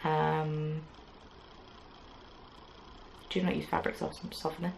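A young woman talks yarn to the camera in a small craft room, her lowish voice carrying a gravelly, creaky edge. She rambles cheerfully, full of "um"s and little jokes, and a faint hiss of room noise sits under her speech. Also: flat delivery, slow. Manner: chatty, rambling, many "um"s, playful asides. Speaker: knitting podcast host. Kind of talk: casual chat to camera. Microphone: camera at desk distance.

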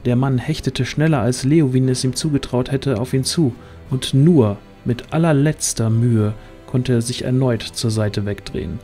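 Mellow male fantasy narrator. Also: medium pitch, moderate pace, faint music behind. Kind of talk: scripted dramatic story narration.

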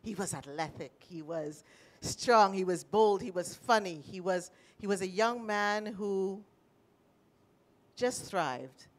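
A middle-aged woman with a Trinidadian accent, sharing a personal story at a panel with animated, emotional delivery, speaking into a handheld mic. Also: mid-range voice, slow. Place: panel stage in a large indoor room.

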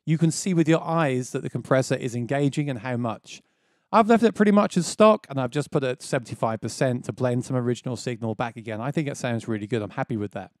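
A man's voice: quick pace, no echo. A man in his thirties hosting a casual music gear tutorial, explaining step by step with enthusiasm, on a close dynamic mic in a small home studio. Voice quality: describes his own voice as quite low. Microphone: dynamic mic on a boom close to the face.

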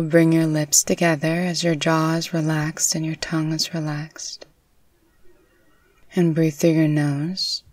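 A woman narrating a guided meditation in a soothing, unhurried, gently instructive way. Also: low voice, no echo. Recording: distant mic with faint background noise.

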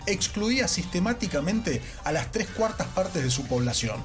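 A man's voice: high-pitched, sing-song, normal speed, over music. A man with an Argentine accent narrating a scripted educational podcast as its host. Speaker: podcast host, social sciences teacher.

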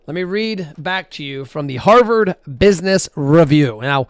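A man talks straight into a close, dry microphone with a somewhat high voice. He is blunt and animated, chatting casually and counting figures aloud as he goes. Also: normal speed, clean tone, no room sound. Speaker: podcast host. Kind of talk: casual solo podcast talk.

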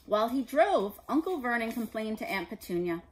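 A woman in her forties reading a children's story aloud as an expressive narrator. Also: fairly high pitch, a little fast, a little hiss or hum. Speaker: storybook reader. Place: living room indoors.

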